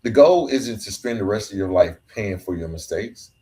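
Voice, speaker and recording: A man's voice: on the low side, lively intonation, moderate pace, warm tone. A man hosting a podcast, delivering an emphatic motivational monologue with a repetitive preaching cadence. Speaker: podcast host.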